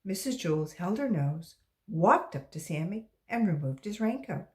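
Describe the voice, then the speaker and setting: A woman reading a story aloud to young children, expressive and emphatic, as a volunteer reader. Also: fairly low pitch, normal speed.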